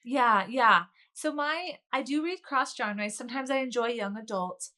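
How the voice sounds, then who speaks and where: Bright-voiced, higher-pitched woman as interview guest. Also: unhurried. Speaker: guest author. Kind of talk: conversational interview.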